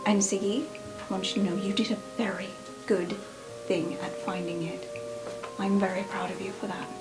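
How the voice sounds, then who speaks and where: A woman voicing a gentle, earnest adventurer in a tabletop game, explaining softly and patiently as if to a child. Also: medium pitch, level tone, moderate pace, loud music behind the voice, close to an everyday voice, low-quality recording.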